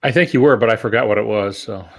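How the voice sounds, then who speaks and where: A man's voice: lowish voice, fast, gravelly, a bit bright. A man speaking casually as a panelist on a podcast. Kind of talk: casual chat.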